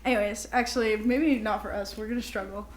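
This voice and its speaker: A woman's voice: mid-range voice, fairly even intonation, brisk. A young woman co-hosting a podcast in casual chat with a friend, talking informally with lots of "like" and a giggly manner. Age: young adult. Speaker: podcast co-host. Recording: echoey untreated room.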